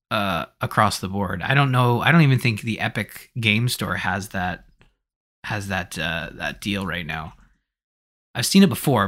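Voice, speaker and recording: A man's voice: lowish voice, a bit bright. A man hosting a podcast in a casual, conversational way, thinking aloud as he goes. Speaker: podcast host.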